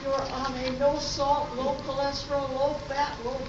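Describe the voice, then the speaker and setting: Woman acting a high-voiced unbothered diner server, very noisy hall. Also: level tone, normal speed, natural voice. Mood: matter-of-fact. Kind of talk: amateur radio comedy sketch acting. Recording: very noisy echoey hall, distant mic, dull.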